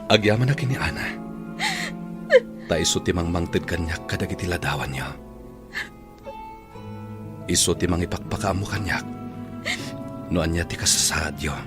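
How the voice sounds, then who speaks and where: A man's voice: low voice, normal speed, pauses often, natural voice. An actor with an Ilocano accent playing a remorseful, devoted businessman in an emotional radio drama, earnest and pleading. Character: remorseful, devoted businessman pleading with his long-lost love. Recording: radio drama with loud music bed.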